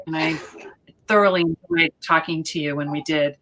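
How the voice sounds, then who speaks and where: Bright enthusiastic woman tarot reader. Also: low voice, normal speed, poor audio. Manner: enthusiastic, flattering. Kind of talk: casual chat. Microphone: video call.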